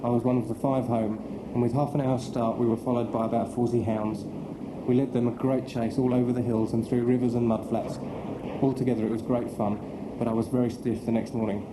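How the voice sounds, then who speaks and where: An actor playing a cheerful young British naval sub-lieutenant with an English accent, reading a letter home in a chatty, understated way. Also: male voice, mid-range voice, flat delivery, fairly quick, reverberant, background noise, close to an everyday voice.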